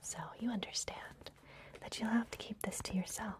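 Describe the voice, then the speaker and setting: A woman voicing a secretive, gentle vampire librarian in a breathy, soothing whisper for an ASMR fantasy roleplay. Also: on the high side, steady tone, close to an everyday voice. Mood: secretive, confiding. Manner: slow, soothing, confiding.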